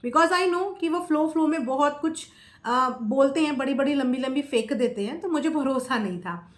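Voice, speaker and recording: A woman's voice: sits high, normal speed. A woman giving opinionated, casual commentary to viewers, emphatic, repeating phrases and asking rhetorical questions. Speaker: reaction video creator. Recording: echoey, roomy sound.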